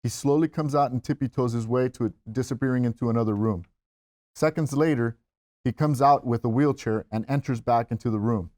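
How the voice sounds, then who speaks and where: Male narrator, medium pitch, scripted stage-direction read for a screenplay. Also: brisk, darkish tone.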